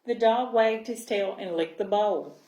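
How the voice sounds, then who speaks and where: A woman reading a picture book aloud to young children as a library storyteller, in an expressive manner. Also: medium pitch. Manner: expressive, pausing to check understanding with listeners. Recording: roomy, echoing sound.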